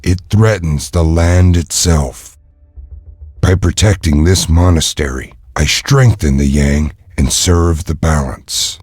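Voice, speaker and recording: A male actor playing a serene, cryptic monk and ninja in a video game, with measured, formal delivery. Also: a bass voice, a little mellow, breathy edge, no room sound, studio quality, heavily acted. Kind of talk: dramatic video game acting.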